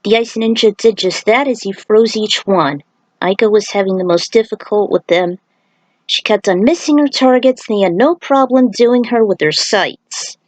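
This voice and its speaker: A woman's voice: mid-range voice, moderate pace, no room sound, low-quality recording. A woman reading a scripted story aloud in a dramatized way, with the odd aside. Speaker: fan fiction reader.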